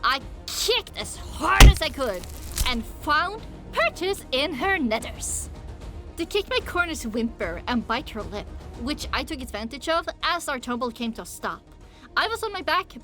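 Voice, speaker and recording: A woman with a fairly high voice narrates an audiobook. Her reading is scripted, first-person and dramatic, and music plays softly behind her. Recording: music under the voice.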